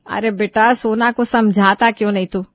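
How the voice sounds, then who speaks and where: A woman's voice: mid-range voice, steady tone, moderate pace, dry recording, lo-fi sound, close to an everyday voice. A woman voicing a gossipy, dramatic older mother-in-law type in an acted audio story. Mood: exasperated. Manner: complaining, dramatic. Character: exasperated, gossipy older Indian mother-in-law and her aunty friend.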